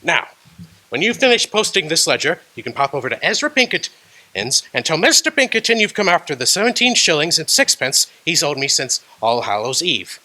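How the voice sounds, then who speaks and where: A man playing a miserly, bitter old moneylender in a radio drama, gruff and scornful. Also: a little high, very animated, a little fast, bright, forceful tone, full character voice. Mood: angry.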